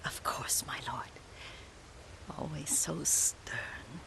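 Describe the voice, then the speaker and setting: An actress playing a frail, wistful elderly Japanese retainer in a video game, gentle and deferential, with a thin, breathy voice. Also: female voice, low voice, drawn-out words, whispered, heavily acted. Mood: weakening, tender. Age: older. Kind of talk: dramatic video game acting. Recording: music underneath. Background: game music.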